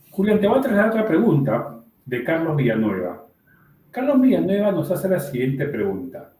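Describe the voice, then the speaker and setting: A man hosts an online conference with formal, polite phrasing. His pitch moves in a lively way over a mellow tone, and the sound is roomy, as if he is away from the microphone. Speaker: event moderator. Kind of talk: hosting an online conference, reading audience questions. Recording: roomy echo, distant microphone.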